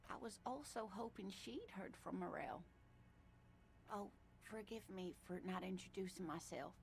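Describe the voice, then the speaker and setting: A woman playing a raspy, gentle old lady. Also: medium pitch, moderate pace, a little hiss or hum, lightly acted. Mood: worried. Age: older. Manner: gentle, polite, chatty. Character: kindly elderly woman worried about missing husband. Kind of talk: video game voice acting.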